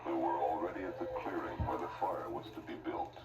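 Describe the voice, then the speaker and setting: Male storyteller, extremely low and animated, dramatic scripted narration, distant with loud music behind. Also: brisk, gravelly, roomy sound.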